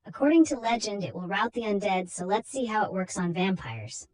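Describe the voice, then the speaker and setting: A woman's voice: mid-range voice, expressive, a little fast, a little mellow, close to an everyday voice. A woman voicing a commanding, knowing male doctor who hunts a vampire in a storybook reading, with emphatic, declamatory delivery. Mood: knowing resolve.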